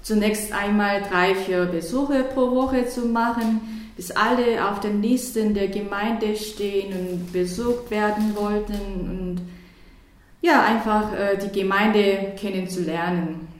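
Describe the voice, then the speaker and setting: A woman's voice: medium pitch, steady tone, slow pace, very echoey. A woman from South Korea with a Korean accent, a church worker answering interview questions in a hesitant way, with many fillers and restarts.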